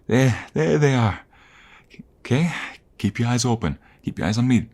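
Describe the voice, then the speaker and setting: A man's voice: mid-range voice, lively intonation, normal speed, a little mellow. A man playing a devoted, protective mobster lover in an ASMR roleplay, pleading emotionally and intimately with the listener. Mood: anxious, tender.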